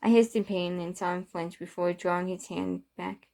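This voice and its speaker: A woman reading her own first-person story aloud, halting and sometimes stumbling over the lines. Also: fairly low pitch, steady tone, a little mellow.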